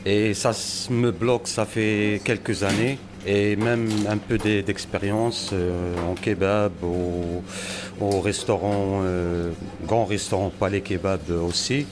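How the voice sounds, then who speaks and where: A man speaking slowly and haltingly about looking for work. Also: lowish voice, steady tone. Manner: slow, halting. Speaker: beneficiary seeking work. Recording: noisy room.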